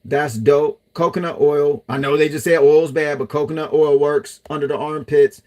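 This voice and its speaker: A man's voice: fairly high pitch, steady tone, moderate pace, darkish tone. Chatty man reading live comments. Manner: chatty, loose, talks to viewers as y'all. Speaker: livestream host reading chat. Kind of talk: casual livestream chat.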